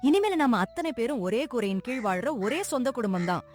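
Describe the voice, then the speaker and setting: A woman's voice: fairly high pitch, very animated, a little fast, a little mellow, soft background music. A woman playing a kindly, devout sister-in-law in a dramatised moral story. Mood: assuring.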